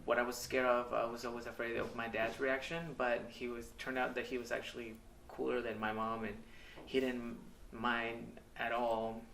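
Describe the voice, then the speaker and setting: A man in his thirties from Mexico, telling his life story in a candid, hesitant way with many pauses and ums. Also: mid-range voice, flat delivery, gravelly, a bit bright, slight background noise. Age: 30s. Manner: hesitant, halting, with frequent ums and uhs. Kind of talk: candid personal testimonial. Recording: echoey room, mic at a distance.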